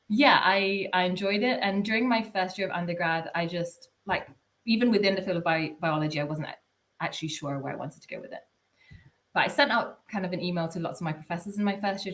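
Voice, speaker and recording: Chatty young woman researcher, low voice. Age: young adult. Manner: chatty, many restarts and fillers. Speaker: guest, PhD researcher. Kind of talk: casual interview answer.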